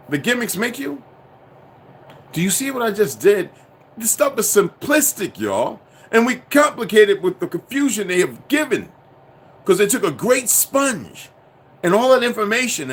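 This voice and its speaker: A man's voice: sits high, fairly bright tone, slight background noise. Profane preachy man ranting to viewers. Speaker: commentator.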